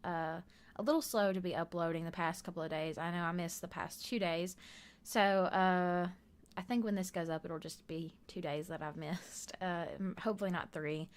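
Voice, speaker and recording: A hoarse woman chats loosely over her build footage, her voice sitting a little low. She drifts between thoughts with plenty of fillers and soft laughs, like a home video creator talking to her viewers. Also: steady tone, normal speed. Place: bedroom at home.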